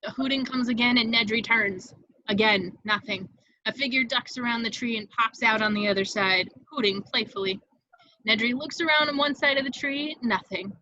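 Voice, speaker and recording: A woman's voice: fairly high pitch, normal speed, sharp tone, no room sound, lo-fi sound. A woman reading scripted stage directions as the narrator of a table read, in a vivid storytelling manner.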